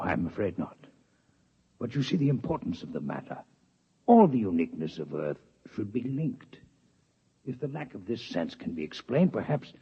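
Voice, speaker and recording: An actor playing a courteous, coolly curious six-legged alien scientist, in formal, precise 1950s radio drama style, on a dull old broadcast recording. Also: male voice, mid-range voice, husky, full character voice. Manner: formal, precise, politely detached. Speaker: alien visitor.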